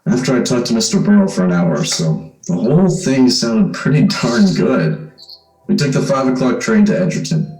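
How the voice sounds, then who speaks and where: A man's voice: medium pitch, big pitch swings, normal speed, darkish tone, lightly acted. A man acting a wary, streetwise seventeen-year-old diner counterman in an old-time radio drama, with folksy, slangy talk. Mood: easygoing narrating. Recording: roomy echo, music underneath.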